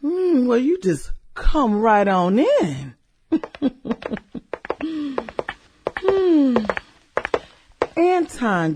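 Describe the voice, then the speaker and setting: An actress in a satirical radio drama playing a sultry, manipulative businesswoman with a theatrical, coquettish manner and breathy delivery. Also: fairly high pitch, dark tone, a little hiss or hum, full character voice. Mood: flustered, coquettish. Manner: theatrical, coquettish, drawn-out exclamations and giggles. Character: sultry, demanding, manipulative businesswoman juggling rich lovers.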